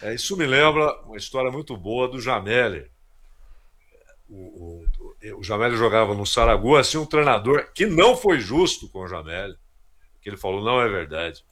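A man with a Brazilian accent giving casual, anecdotal sports commentary in a halting, conversational way. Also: lowish voice, big pitch swings, a bit bright.

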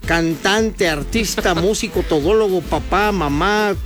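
A man's voice: high voice, unhurried, music in the background. A man with a Mexican accent hosting a radio show with playful, jokey, exclamatory banter.

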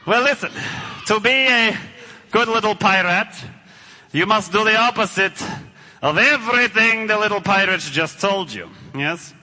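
An actor playing a big hairy villain rat in a live children's stage show, husky, theatrical and playing to the audience. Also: male voice, sits high, a little slow, sharp tone, heavily acted. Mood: scheming. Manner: blustering, theatrical, plays to the audience. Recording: echoey hall.

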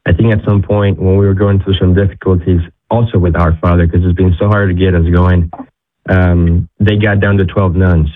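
A man's voice: low voice, little pitch movement, normal speed. A young man from Ecuador, a guest telling his story in casual talk with many restarts. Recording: tinny phone-like line.

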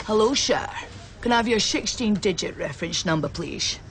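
A woman's voice: medium pitch, expressive, moderate pace, background noise, natural voice. A woman playing a call centre worker in a comedy sketch, with a clumsy put-on Scottish accent. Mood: stiff.

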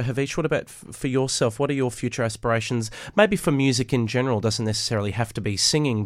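A man's voice: medium pitch, dry recording, clean studio sound. Effusive man hosting radio. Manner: effusive, praising. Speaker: radio host and opera singer. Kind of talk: live radio interview.

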